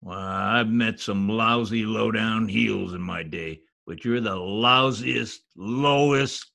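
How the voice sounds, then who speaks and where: A man playing a gruff, blunt gangster boss with tough slangy talk in a 1940s radio crime drama. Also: lowish voice, slow pace, sharp tone, exaggerated voice. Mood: indignant, tough. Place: home, recorded over video call.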